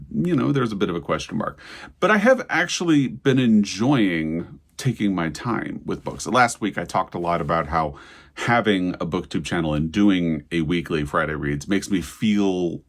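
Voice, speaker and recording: A man hosting a casual book vlog, talking conversationally with digressions and self-corrections. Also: low voice. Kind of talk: casual book chat to camera.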